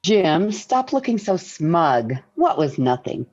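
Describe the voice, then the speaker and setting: A woman's voice: lowish voice, sing-song, slow pace, exaggerated voice. An actress playing a patient, dryly witty middle-aged wife and mother in 1940s radio sitcom style. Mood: wry. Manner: gentle, wry. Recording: dull vintage radio recording.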